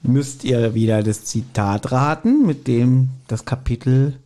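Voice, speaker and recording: Man with a slightly mellow, dry delivery. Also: mid-range voice, unhurried. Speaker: podcast co-host. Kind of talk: casual explanatory banter.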